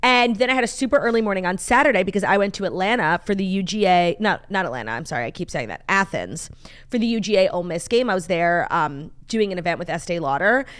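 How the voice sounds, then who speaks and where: A woman co-hosting a casual morning talk show, excitable and gushing, with casual swearing. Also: lowish voice, fast. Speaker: podcast co-host. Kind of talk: casual chat.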